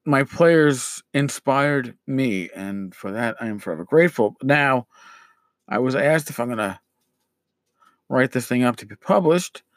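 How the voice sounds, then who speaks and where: A middle-aged man talks casually as a podcast host, his voice scratchy and worn as he loses it. He rambles, pausing on fillers and restarting his sentences. The sound is dry and close, with no room around it. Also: mid-range voice, lively intonation, no room sound. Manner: rambling, with many "uh" pauses and restarts. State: losing his voice, scratchy throat. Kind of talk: casual solo podcast talk.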